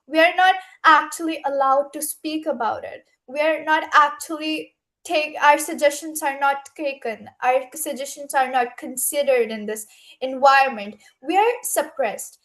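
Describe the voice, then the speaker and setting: A woman's voice: sits high, normal speed, fairly bright tone. A young woman speaking as a youth panelist at a summit, giving impassioned, earnest and emphatic remarks. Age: young adult.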